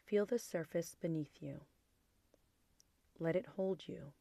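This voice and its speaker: Slow, low-pitched woman guiding meditation. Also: no echo. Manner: soft, gentle, soothing, with breathy near-whispered phrase endings. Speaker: meditation guide. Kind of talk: guided body scan meditation.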